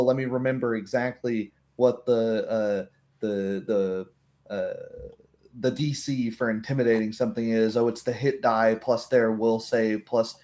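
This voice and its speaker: Casual game master with an easygoing conversational delivery. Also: male voice, mid-range voice, a little mellow. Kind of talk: casual chat.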